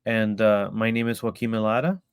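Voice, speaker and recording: A man's voice: lowish voice, steady tone, brisk, clean tone, no echo, clean studio sound. A man from El Salvador giving a live online presentation about a community he founded, hesitant with many ums and restarts.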